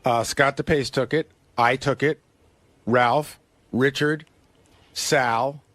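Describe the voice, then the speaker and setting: A man's voice: medium pitch, steady tone, slow, fairly bright tone, no room sound. A man, a radio show producer, talking casually in a studio discussion.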